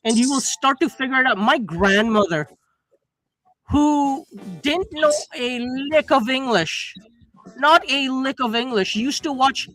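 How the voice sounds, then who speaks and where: High-pitched, brash, sweary man. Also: expressive, unhurried, no echo, poor audio. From born in South Asia, moved to Canada at nine. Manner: loud, brash, combative, sweary. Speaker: panel guest on a livestream. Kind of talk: casual banter, profane.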